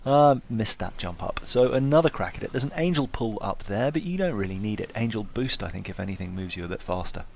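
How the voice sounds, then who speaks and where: Gravelly, wry man commentating on gameplay. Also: fairly low pitch, very animated, fairly quick, hardly any pauses, darkish tone, slight background noise. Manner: wry, self-deprecating, understated. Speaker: gaming video host.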